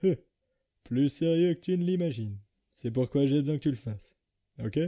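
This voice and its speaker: A man's voice: a little high, expressive, moderate pace, mellow, close to an everyday voice. A man voicing a laid-back, reluctant skeleton in a comic dub, talking in a laconic, casual way. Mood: serious.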